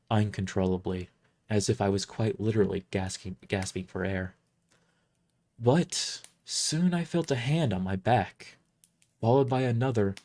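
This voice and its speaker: A narrator reading a first-person fantasy audio drama in a deliberate, emotive way. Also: sits low, a little mellow.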